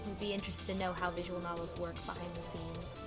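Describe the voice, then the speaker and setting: Woman, podcast co-host, casual game recap, mock quotes, music clearly behind. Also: lowish voice, little pitch movement, fast talker. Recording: music playing behind the talk.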